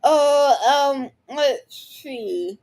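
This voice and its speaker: A high-pitched woman delivers unscripted reaction commentary at a slow pace. She is blunt and combative, swears freely, and often breaks off her sentences before starting again. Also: lively intonation, darkish tone. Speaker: online commentator reacting to another speaker.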